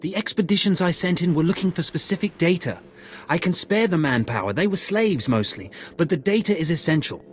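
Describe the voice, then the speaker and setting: An actor playing an earnest, worried Imperial expedition organizer in a video game, grave and businesslike. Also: male voice, on the high side, animated, fairly quick, close to an everyday voice. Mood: urgent, persuasive. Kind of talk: video game cutscene acting.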